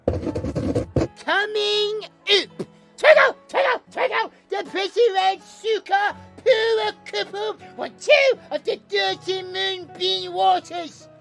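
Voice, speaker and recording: A woman voicing a small, naive, excitable male creature in an audio drama, with a childlike, gushing, eager manner and a squeaky, creaky voice. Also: takes it slowly, soft background music, full character voice. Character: small, excitable, naive, sweet mystic cafe helper, male.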